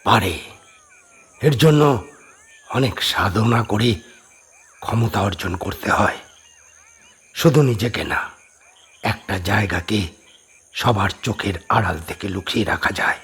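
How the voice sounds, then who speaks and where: A man voicing a grave, mysterious spiritual adept in a horror audio drama, solemn and deliberate with pauses. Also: lowish voice, a bit bright, breathy edge, full character voice.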